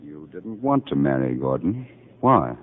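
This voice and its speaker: An actor playing a calm, authoritative male psychiatrist in a 1950s radio drama, speaking in a measured, insistent, deliberate way. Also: rumbling low, narrow, tinny audio, exaggerated voice. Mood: probing. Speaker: actor playing a doctor who also narrates. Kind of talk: 1950s radio drama acting, narration and hypnotic questioning. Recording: band-limited 1950 radio broadcast with music.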